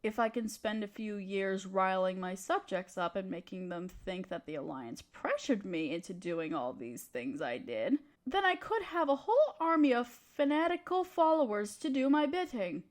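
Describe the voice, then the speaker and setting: A woman's voice: medium pitch, animated, moderate pace, hardly any pauses, lightly acted. A woman playing a haughty, scheming evil queen in an audio drama, speaking in an imperious, theatrical, condescending way. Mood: gloating, conniving. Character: scheming evil queen, haughty tyrant gloating to her advisor. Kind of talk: scripted roleplay audio drama monologue.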